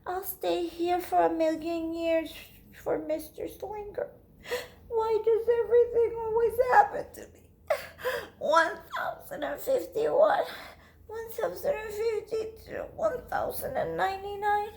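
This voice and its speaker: Woman voicing a high-pitched, dramatic little schoolgirl. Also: a little slow, gravelly, a little mellow, exaggerated voice. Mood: tearful, aggrieved. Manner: emphatic, theatrical, repeats words intensely. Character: Lily, dramatic, excitable, remorseful little mouse schoolgirl. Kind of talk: read-aloud children's storybook. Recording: echoey room.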